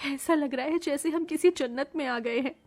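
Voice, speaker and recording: A woman's voice: high voice, moderate pace, soft background music, natural voice. A woman voicing an emotional, devoted woman in a melodramatic cartoon family tale, with an earnest, plaintive delivery.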